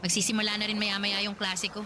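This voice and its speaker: A woman's voice: medium pitch, level tone, fast talker, noisy background, close to an everyday voice. An actress playing a broke young female college student who complains about work and money, in a radio drama with music underneath. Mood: chatty. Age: young adult. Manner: chatty, grumbling about her hardships. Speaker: working student character.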